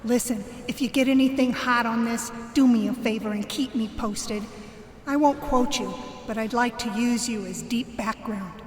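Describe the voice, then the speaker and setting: An eager woman reporter, played by an actress in a radio drama. She is brisk and coaxing, slightly high-voiced, in an echoey space with faint noise behind. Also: close to an everyday voice. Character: eager woman reporter trading inside information. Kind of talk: contemporary radio drama acting.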